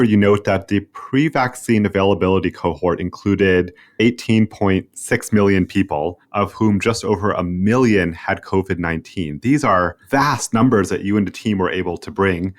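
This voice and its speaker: A man with a fairly low voice hosts a professional interview. He speaks politely, summing up what has been covered and then setting out his questions. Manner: polite, recaps before asking. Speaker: podcast host, interviewer.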